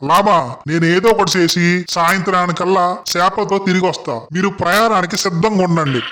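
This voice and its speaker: A man voicing an anxious, loyal penguin sidekick in a children's cartoon, folksy and excitable. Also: sits high, a little fast, slight background noise. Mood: resolute. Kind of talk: children's animated story acting.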